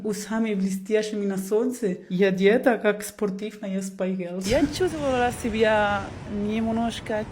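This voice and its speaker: A woman's voice: mid-range voice, a little mellow, a little hiss or hum. A woman from Spain with a Spanish accent, a native Catalan speaker, telling a casual, self-mocking vlog story. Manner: self-mocking, chatty, jokey. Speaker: vlogger.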